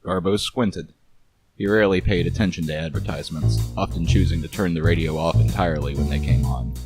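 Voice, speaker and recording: Low-pitched man narrating noir fiction. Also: a little fast, over music. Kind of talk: scripted noir story narration.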